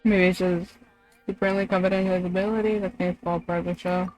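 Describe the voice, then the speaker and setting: Female game streamer, slightly low voice, casual and sweary commentary, faint music in the background. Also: little pitch movement, quick pace, darkish tone, dry recording, rough recording. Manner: slangy, sweary.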